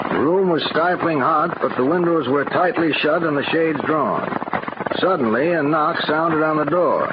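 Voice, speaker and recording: An actor playing a grave county coroner, narrating a police case formally in a 1940s radio drama, building suspense. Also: male voice, on the high side, lightly acted. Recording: noisy, muffled old radio recording.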